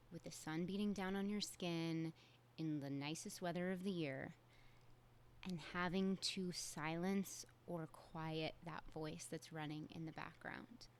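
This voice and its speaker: A woman's voice: on the low side, slight background noise. A young adult woman, hosting a solo podcast in a candid, conversational way with casual fillers. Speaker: podcast host.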